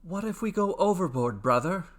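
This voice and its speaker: A man acts out a timid, anxious brother in a mythic storybook. He questions everything with hesitant worry, and his pitch moves around a lot. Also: expressive, normal speed. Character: cowardly younger-sounding brother, anxious, fretful sailor. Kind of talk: dramatic storybook character acting.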